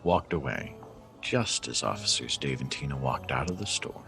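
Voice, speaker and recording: A man's voice: rumbling low, animated, moderate pace, creaky, pushed and bright, faint music behind, heavily acted. A man narrating an audio drama in the scripted manner of a storyteller. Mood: measured. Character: male storyteller narrating a Christmas crime tale.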